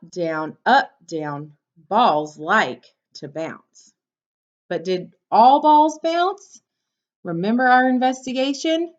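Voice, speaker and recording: A woman teacher reading a picture book aloud to young children, instructing and prompting them with questions. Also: on the low side. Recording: dull, lo-fi sound.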